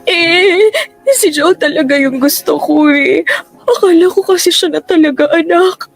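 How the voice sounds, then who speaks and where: A woman's voice: very high voice, unhurried, creaky, dry recording, exaggerated voice. An actress playing a dramatic, boy-crazy middle-aged Filipina mother in a radio drama. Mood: tearful, whiny. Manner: theatrical, coquettish, whiny. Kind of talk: Tagalog radio drama acting. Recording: music bed under dialogue.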